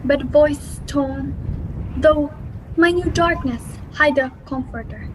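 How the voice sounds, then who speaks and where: A blind, forlorn king from Greek tragedy, acted by a woman, speaks in a high voice. The reading is slow and dramatic, with faint noise behind it. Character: blind, forlorn Oedipus clinging to faithful companion.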